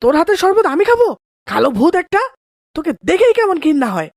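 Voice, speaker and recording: A woman's voice: extremely high pitch, big pitch swings, fast talker, darkish tone, no echo, studio quality, exaggerated voice. A woman voicing a scornful young schoolboy, a rude older brother, in a children's cartoon. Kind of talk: children's moral cartoon voice acting.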